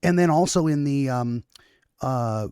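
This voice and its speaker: A man's voice: medium pitch, expressive, unhurried, darkish tone, clear, resonant tone, no echo, studio quality. A man hosting a podcast, talking in a rambling way with many restarts and self-corrections. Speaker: podcast host. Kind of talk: casual conversational interview.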